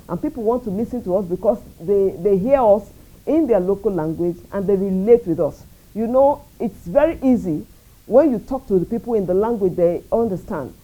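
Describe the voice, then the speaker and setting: A Nigerian woman answers interview questions as a radio station executive. Her voice is very dark and mellow, with an average pitch for a woman. She speaks in a measured, earnest and proud way. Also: poor audio.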